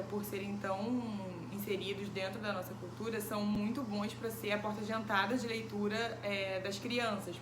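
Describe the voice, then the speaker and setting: A woman, answering interview questions thoughtfully, with many hesitant fillers. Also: medium pitch. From Brazil. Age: 20s. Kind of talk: casual recorded interview. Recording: echoey room with background noise.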